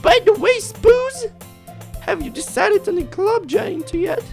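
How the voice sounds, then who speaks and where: A man acts out a carefree anime schoolgirl from a visual novel in a very high, squeaky falsetto. She is bubbly, caring and exaggeratedly girlish, speaking over background music. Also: big pitch swings, a little slow, vocal fry, darkish tone, full character voice. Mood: cheerful, insistent.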